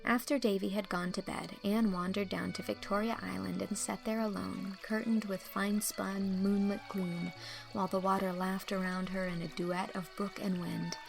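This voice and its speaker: A woman narrates an audiobook in a scripted, literary style. Her mid-range voice has a noticeable creak, with faint music behind it. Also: mid-range voice, level tone, normal speed.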